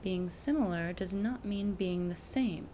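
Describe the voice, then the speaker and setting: A woman's voice: medium pitch, a little slow, mellow, a little hiss or hum, natural voice. A woman playing an imperious, cryptic prophetess who speaks formally and curtly in an audio drama. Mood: haughty, stern. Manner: imperious, formal, archaic wording. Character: haughty, cryptic ancient prophetess, curtly dismissive.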